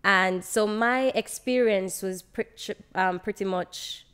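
A woman's voice: medium pitch, slow, smooth. A young adult woman with a Jamaican accent, telling stories casually and acting out dialogue as she chats into a close podcast mic. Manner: animated, acts out quoted dialogue. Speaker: podcast guest, actress. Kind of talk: casual conversational storytelling. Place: open, bright living room, on a sofa. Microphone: podcast microphone on boom arm, close.

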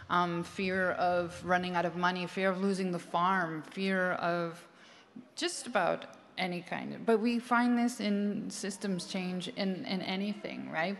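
A woman's voice: lowish voice, slight background noise. A woman in her forties with an American accent, speaking earnestly on a conference panel into a handheld mic, with many fillers and restarts. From United States. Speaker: panel speaker, farmer trainer. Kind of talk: conversational panel talk. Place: large conference stage with audience. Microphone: handheld microphone. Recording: long hall reverb.

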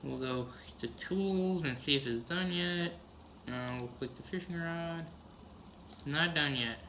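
A man's voice: on the high side, measured pace, creaky, bright, forceful tone, slight background noise, rough recording. A man casually narrating a farming video game, humming "mm-hmm" between steps, recorded on a camera across a room. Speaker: gameplay narrator.

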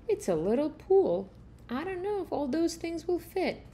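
Gentle giant panda for a picture book, female reader, calm and measured, soft-toned, faint room noise. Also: extremely high pitch, pitched above the performer's own voice. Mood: calm, thoughtful.

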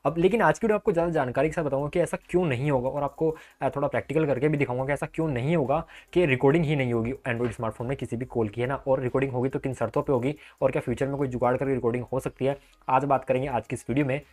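A man presents a tech tutorial. He talks at a fast clip in a mid-pitched voice. His delivery is conversational and explanatory, going step by step and often checking that the listener follows. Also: steady tone, a little mellow. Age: 20s. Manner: conversational, explains step by step with frequent tag phrases. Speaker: host of a tech tips channel. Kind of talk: explanatory tech vlog to camera and screen tutorial. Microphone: clip-on lapel mic.

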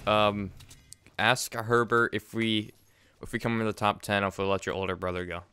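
A young man streaming a game from his room, chatting excitedly and repeating himself. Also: lowish voice, normal speed, a little hiss or hum. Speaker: gaming streamer. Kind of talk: casual gameplay chat. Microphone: desk mic, wearing headset.

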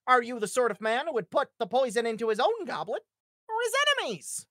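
A smug, excitable schemer of a man, acted out by a woman, who blusters on at length in a script read. The voice is high and leaps wildly up and down, full of theatrical exclamations, in a dry recording. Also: fast talker, dry recording. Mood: smug reasoning. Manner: exclamatory, theatrical, long-winded.

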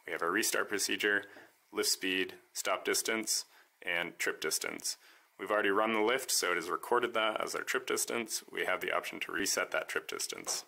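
A man calmly walking through how his homemade device works, step by step, in a voice-over demo. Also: low-pitched, creaky. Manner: calm, methodical step-by-step explaining. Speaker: maker demonstrating his device.

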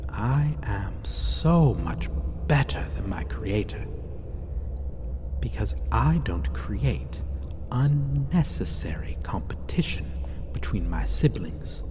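An actor playing an obsessive, possessive vampire lover in a gothic ASMR roleplay, speaking softly and intimately with drawn-out, theatrical phrasing and frequent whispering. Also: medium pitch, big pitch swings, long reverb tail. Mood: menacing, proud. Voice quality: soft, breathy, often whispered. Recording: clearly noisy background ambience.

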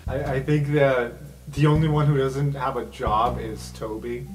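A man's voice: medium pitch, level tone, a little slow, over music, low-quality recording. A man narrating an improvised tabletop roleplaying game as game master, emphatic and given to swearing.

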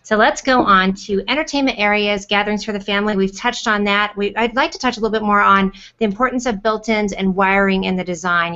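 A woman's voice: medium pitch, sharp tone. A middle-aged woman hosting an online interview show over a video call. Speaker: host. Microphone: computer mic on a video call. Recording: dull, lo-fi video call audio.

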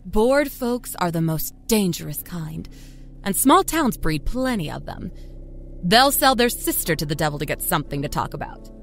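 A woman playing a sly, folksy small-town Southern woman, a self-confessed liar confiding her dark life story in a wry dramatic narration. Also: on the low side. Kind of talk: dramatic first-person horror story narration. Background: musical score.

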